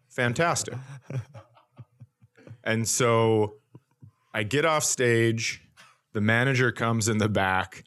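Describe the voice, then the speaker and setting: A man's voice: fairly low pitch, fairly bright tone. A man hosting a comedy podcast, telling stories casually in a wry, self-deprecating, conversational way.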